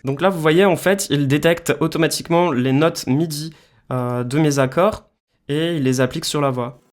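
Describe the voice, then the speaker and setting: Man giving a casual software tutorial. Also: a little high, normal speed. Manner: informal, explains step by step. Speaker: tutorial presenter, music producer.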